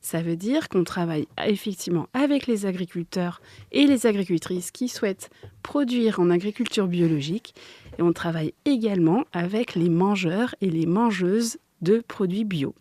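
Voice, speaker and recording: A woman's voice: medium pitch, moderate pace, dark tone, no room sound. A woman explaining things simply and didactically as a guest in an informal radio interview. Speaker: interview guest, agricultural association organiser. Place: close, dry recording for a radio workshop.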